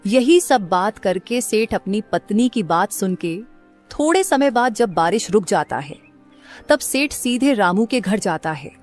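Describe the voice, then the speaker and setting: A woman's voice: mid-range voice, big pitch swings, a little slow, darkish tone, soft background music. A woman reading scripted narration as the narrator of a story.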